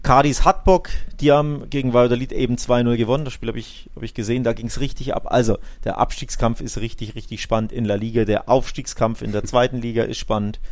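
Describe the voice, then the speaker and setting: A man's voice: mid-range voice, steady tone, fast talker, few pauses, dry recording. A man casually discussing football as a podcast co-host.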